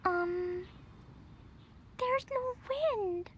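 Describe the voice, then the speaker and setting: A woman's voice: very high-pitched, drawn-out words, a bit bright, slight background noise, heavily acted. A woman voicing a cheerful, innocent, curious little girl in a video game. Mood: puzzled, downcast. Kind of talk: video game character dialogue.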